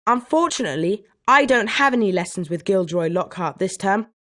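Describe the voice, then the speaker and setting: A woman's voice: medium pitch, lively intonation, brisk, a bit bright, close to an everyday voice. A woman voicing a pointed, nosy young British schoolboy wizard in scripted video game lines. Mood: casual.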